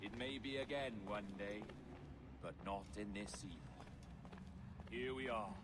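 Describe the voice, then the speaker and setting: Guy voicing a low, hushed, cryptic pirate captain, game cutscene, loud sound effects behind. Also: heavy background noise, lightly acted. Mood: calm. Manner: measured, mysterious, often hushed. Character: calm, cryptic, cultured older male pirate captain. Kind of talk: video game cutscene acting.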